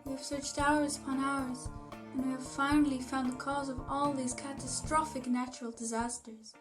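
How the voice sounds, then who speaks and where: Woman voicing gracious cartoon royal pony, high, formal, motherly concern. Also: little pitch movement, moderate pace, over music, lightly acted. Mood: solemn.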